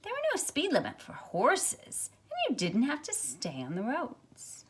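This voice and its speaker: A middle-aged woman, a teacher, speaking expressively and deliberately as if to young students during a picture book read-aloud. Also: high-pitched, wildly swooping pitch, breathy edge. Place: carpeted room at home, indoors. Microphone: phone at arm's length.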